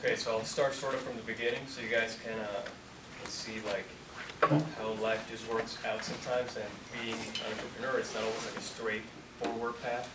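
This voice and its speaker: A man in his twenties from Colombia, a company founder talking casually to students in a relaxed, rambling way, full of "like". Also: lowish voice, fairly quick. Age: 20s. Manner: relaxed, rambling, heavy use of "like". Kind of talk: casual talk to an audience. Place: university room. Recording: echoey room, distant mic, noisy.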